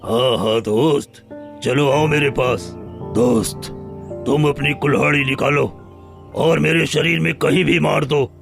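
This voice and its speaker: A man voicing a proud, wounded lion in a cartoon story, speaking solemnly and deliberately. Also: a little high, fairly even intonation, vocal fry. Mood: calm, coaxing. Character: proud, wounded male lion, loyal friend turned stern. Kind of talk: scripted Hindi cartoon story dialogue. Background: background music.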